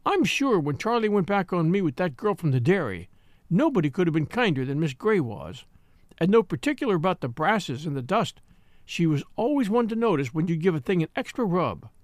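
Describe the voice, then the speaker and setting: A man's voice: high voice, big pitch swings, a little fast, no echo, studio quality. A man voicing a devoted young maid of all work, crying and talking in plain rustic speech. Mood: tearful devotion.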